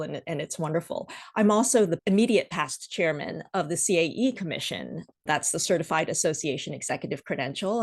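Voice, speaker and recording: A middle-aged woman with an American accent, giving measured, articulate answers as a guest in a conversational interview. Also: deep voice. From Washington, D.C. area, United States. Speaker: podcast guest, association executive.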